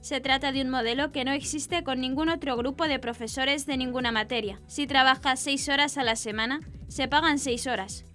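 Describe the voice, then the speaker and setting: Woman newsreader with a high voice. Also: steady tone, normal speed, few pauses, a bit bright, soft background music. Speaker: news presenter. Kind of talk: scripted news narration.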